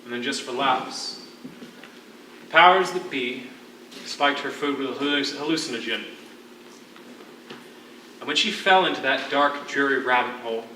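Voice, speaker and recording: A man acts out a jailed writer with a formal, wordy wit. His voice is very forceful and theatrical, carrying dry sarcasm and weariness. It sounds distant and echoey, as from a theatre stage, with faint noise. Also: mid-range voice, close to an everyday voice. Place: on a stage in a hall.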